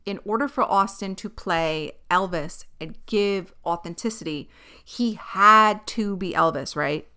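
Woman podcast host, early thirties, chatty and opinionated, bright tone, clear voice. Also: medium pitch, unhurried. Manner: chatty, opinionated, tangent-prone. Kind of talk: casual chat.

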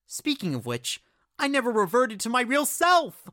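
A woman playing an excitable, shrunken shapeshifter character in an audio drama. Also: on the high side, normal speed, dry recording, clean studio sound. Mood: chatty.

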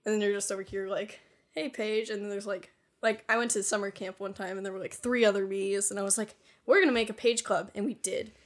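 A woman's voice: medium pitch, fairly even intonation, fast. A young woman co-hosting a podcast, chatting casually with lots of fillers and frequent giggles. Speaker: podcast co-host. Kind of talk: casual chat.

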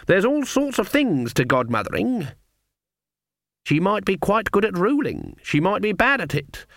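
A man voicing a sharp, stubborn old female witch with rustic, plain-spoken speech in a storybook reading. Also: sits high, very animated, measured pace, creaky, no room sound, studio quality, voice pushed up, full character voice. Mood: stern, firm.

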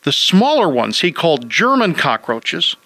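A man with a fairly high, bright and forceful voice hosts a call-in radio show about homes. He chats casually and throws in wisecracks, and his voice sounds dry and close to the mic. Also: wildly swooping pitch, a little slow, no room sound. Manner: joking, wisecracking.

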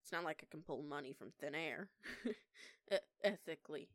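A woman's voice: sits low, dry recording, close to an everyday voice. A young woman playing a defiant eighteen-year-old punk lone-wolf werewolf in an audio roleplay, with a casual, sweary, sarcastic manner. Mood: chatty, self-assured. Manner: sweary, casual, sarcastic, halting when flustered. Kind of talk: intimate one-sided audio roleplay acting.

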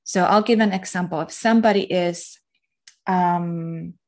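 A woman from Finland, speaking as an interview guest, reflective and pausing often to search for words. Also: on the low side, fairly even intonation, a little mellow. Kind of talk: casual spiritual interview talk.

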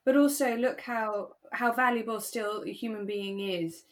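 A woman's voice: a little high, steady tone, measured pace. Chatty, reflective woman podcaster. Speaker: podcast co-host. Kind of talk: casual chat discussing a radio drama.

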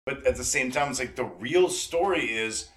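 Earnest, halting man in his forties. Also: mid-range voice, lively intonation, fairly bright tone. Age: 40s. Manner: earnest, halting, repetitive, swearing freely. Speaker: podcast host and devoted fan. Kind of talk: casual, rambling fan conversation.

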